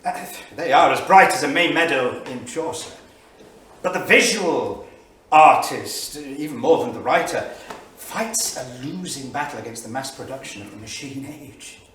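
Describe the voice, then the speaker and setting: A man's voice: medium pitch, unhurried, a bit bright, close to an everyday voice. A man acting a wry 1930s intellectual in a staged reading, musing in a hesitant, bookish way. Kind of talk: reflective journal monologue. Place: small theatre studio. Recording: echoey theatre, distant mic, faint noise.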